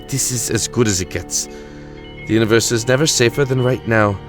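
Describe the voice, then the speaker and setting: A man's voice: medium pitch, moderate pace, faint music behind, close to an everyday voice. A man playing a weary, grandiose time-traveling alien emperor in an audio drama, self-justifying and reflective. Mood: proud, reflective.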